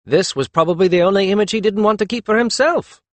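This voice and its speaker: A man's voice: high voice, fast, no echo, voice pushed up, close to an everyday voice. A man voicing a cheeky young man with a playful, teasing manner in an audiobook.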